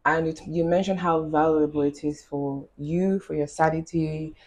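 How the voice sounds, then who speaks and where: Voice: medium pitch, fairly even intonation, moderate pace. A podcast host who asks questions in a casual, conversational way and keeps the talk going with short affirmations. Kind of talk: casual interview.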